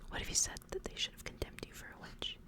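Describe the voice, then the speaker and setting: A woman voicing a compassionate, troubled young clergyman in a storybook, asking questions earnestly in a breathy whisper. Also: quick pace, close to an everyday voice. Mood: anxious compassion.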